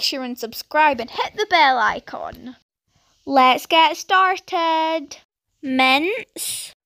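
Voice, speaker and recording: A woman's voice: high-pitched, a bit bright, no room sound, studio quality. A woman from the UK hosting a home cooking channel, cheerfully talking through a recipe and listing the ingredients one by one. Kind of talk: recipe voice-over.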